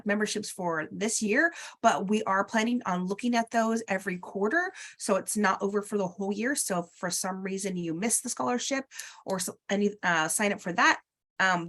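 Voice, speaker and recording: A woman's voice: medium pitch, fairly quick, fairly bright tone. A woman with a slight cold hosting a guild meeting over video call, rambling through announcements with many ums and uhs.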